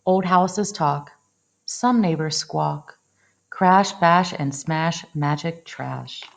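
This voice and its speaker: A woman reading a picture book aloud as a storyteller, in an expressive, dramatic way. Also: low voice, extremely slow, gravelly. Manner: dramatic, acts out exclamations. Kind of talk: expressive children's read-aloud.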